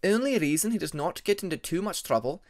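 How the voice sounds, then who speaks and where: A man voicing a reserved, proud young female dragon student who speaks formally and in measured sentences. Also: high voice, lively intonation, pitched above the performer's own voice. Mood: explaining, proud. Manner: formal, measured, uncontracted speech.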